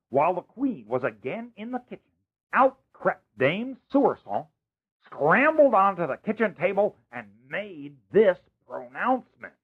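A man's voice: high voice, husky, exaggerated voice. A man playing a kindly older godfather who narrates a fairy tale in a theatrical, playful way. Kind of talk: storybook narration. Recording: tinny old lo-fi recording.

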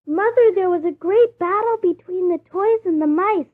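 An earnest, wide-eyed little girl, played by a woman in a very high, childlike voice. The sound is thin and tinny, like a phone line. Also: normal speed. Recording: tinny old lo-fi recording.

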